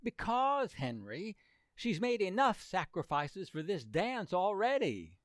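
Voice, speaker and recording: A woman's voice: mid-range voice, very animated, slow pace, no room sound, studio quality. A woman playing an awkward, worried teenage boy sidekick in old-time radio comedy, hesitant and plaintive. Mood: reluctant, worried.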